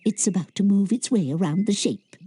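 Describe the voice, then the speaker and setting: A woman's voice: medium pitch, brisk, dark tone, slightly rough, dry recording. A woman playing an encouraging, bustling British witch teacher giving a lesson in a video game. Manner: schoolteacherly, encouraging.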